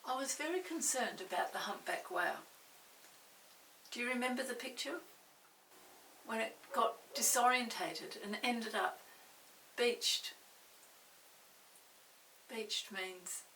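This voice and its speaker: An older woman reading a story aloud to young children, expressive, pausing to explain and ask the listener questions. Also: mid-range voice. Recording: roomy, distant mic with faint hiss.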